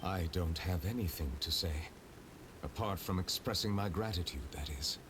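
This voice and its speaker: An actor playing a stern, formal male chief justice in a video game, speaking in a measured, solemn way. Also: low voice, background noise, natural voice.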